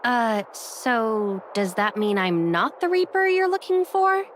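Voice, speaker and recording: A young game heroine speaks in a higher-than-average voice, guarded and full of doubt. The anime-style dialogue sits over faint noise. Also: female voice, animated, slow. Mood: shocked. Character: skeptical, hesitant young game protagonist.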